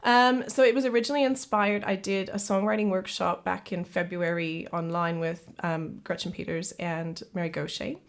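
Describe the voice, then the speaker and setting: A woman from Canada with a slightly low-pitched voice, talking as a singer-songwriter guest in a relaxed remote interview. She chats easily, laughs often, and repeats words as she gets going. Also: normal speed, few pauses. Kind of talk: casual interview chat.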